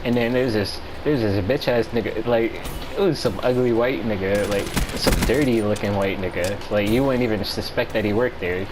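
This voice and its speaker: An American man chatting casually as he tells a story, with slangy, profane and halting delivery. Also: fairly low pitch, very noisy. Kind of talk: casual storytelling chat among friends.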